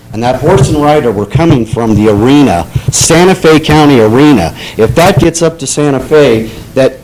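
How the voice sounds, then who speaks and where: A man's voice: mid-range voice, darkish tone, a little hiss or hum, low-quality recording. Frustrated man pressing a council. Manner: frustrated, increasingly insistent. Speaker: resident speaking at public comment. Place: town council meeting room.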